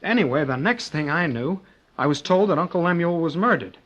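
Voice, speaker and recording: An actor playing a guilty, desperate young nephew under suspicion in an old-time radio drama, hesitant and defensive. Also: male voice, a little high, animated, brisk, a bit bright, muffled recording. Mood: rueful. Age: young adult.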